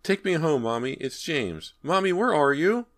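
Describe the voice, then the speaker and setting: A man's voice: fairly high pitch, big pitch swings, moderate pace, voice pushed up. A man voicing a frightened little ghost boy in a tiny, childlike voice, pleading and wailing for his mother in a horror story. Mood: lonely, scared.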